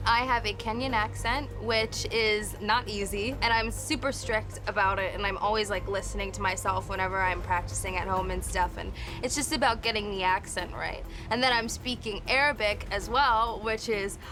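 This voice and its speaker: An actress giving a behind-the-scenes interview, telling stories in an excitable, animated way. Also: female voice, mid-range voice, moderate pace, hardly any pauses. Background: music.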